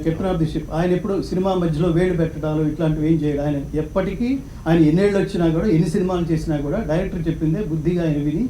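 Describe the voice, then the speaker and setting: A man's voice: a little high, fairly even intonation, racing, warm tone, reverberant, slight background noise, poor audio. A man speaking passionately and reverently as a guest on a TV talk show, repeating words for emphasis and slipping into English. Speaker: studio guest, former journalist. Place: indoor TV studio.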